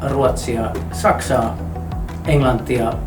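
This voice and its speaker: Finnish-accented male staff member, calm explainer, mic set back with music under. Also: mid-range voice. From Finland. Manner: measured, explanatory. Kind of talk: interview answers. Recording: roomy echo, distant mic, background music.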